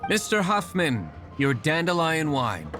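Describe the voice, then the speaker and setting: A man's voice: a little high, big pitch swings, a little slow, fairly bright tone. A man playing a stoic young nobleman vigilante in a video game, speaking in a dry, curt, formal manner with music behind him. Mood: composed.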